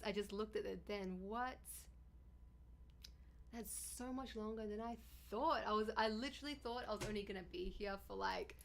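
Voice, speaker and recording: Chatty streamer woman with a gravelly rasp. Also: a little hiss or hum. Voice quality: deep, raspy voice. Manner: chatty, bubbly, thanks viewers often. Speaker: livestreamer and YouTuber. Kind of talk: casual livestream chat with viewers.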